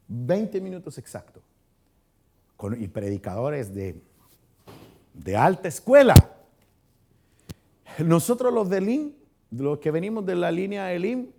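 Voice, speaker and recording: Chilean male pastor, higher gravelly voice, loose chatty Bible-study delivery. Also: a little mellow. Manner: chatty, asks the congregation questions, frequent restarts. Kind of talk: conversational Bible study teaching. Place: church hall.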